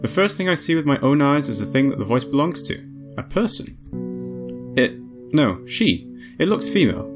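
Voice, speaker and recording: A man voicing a newly awakened, curious female android in a visual novel, measured and introspective. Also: fairly high pitch, very animated. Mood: curious, observant. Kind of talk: visual novel character narration. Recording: music behind the voice.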